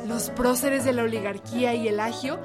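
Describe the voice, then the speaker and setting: A woman's voice: a little high, steady tone, moderate pace, natural voice. A woman giving scripted narration in a historical radio drama. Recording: loud music behind.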